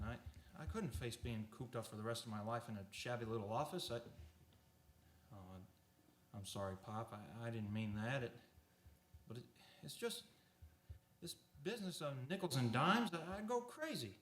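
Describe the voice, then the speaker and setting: An actor playing a restless, earnest young man with big dreams and a halting, stammering delivery in an old-fashioned radio play. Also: medium pitch, expressive, lightly acted. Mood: earnest, frustrated. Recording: roomy echo, faint hiss.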